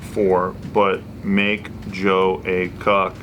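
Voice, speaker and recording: A man's voice: low-pitched, monotone, drawn-out words, bright, forceful tone, slight background noise. A man in casual group banter, talking in a crude, profane, joking way. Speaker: panelist on a comedy talk show.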